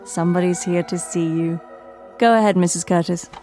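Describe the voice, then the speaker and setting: An actress in a radio drama playing a polite, attentive office secretary. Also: female voice, fairly low pitch, steady tone, normal speed, over music, lightly acted. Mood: helpful.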